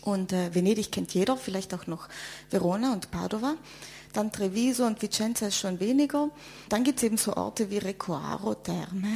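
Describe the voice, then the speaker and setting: Gravelly woman. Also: medium pitch. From South Tyrol, Italy. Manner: lively, repeats words when searching for them. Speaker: panel guest. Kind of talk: casual discussion.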